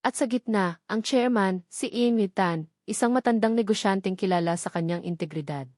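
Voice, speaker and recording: A woman reading a scripted story as a narrator, in a measured, dramatic way with emphatic phrasing. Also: medium pitch, a little mellow, no echo, clean studio sound.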